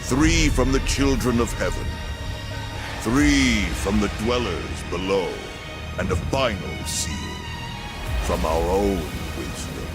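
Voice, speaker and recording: Low, slow, solemn otherworldly judge. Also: male voice, lively intonation, loud music behind the voice. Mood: solemn decree. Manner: solemn, measured. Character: grave, measured otherworldly male council judge. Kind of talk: ceremonial video game cutscene acting.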